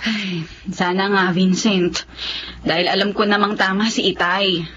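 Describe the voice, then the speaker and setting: An actress playing a responsible, patient young woman in a radio drama, earnest and gently firm. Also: medium pitch, steady tone, a little slow, muffled recording, slight background noise, lightly acted. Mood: hurt, reproachful. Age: young adult. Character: responsible, patient young daughter and reproachful fiancée.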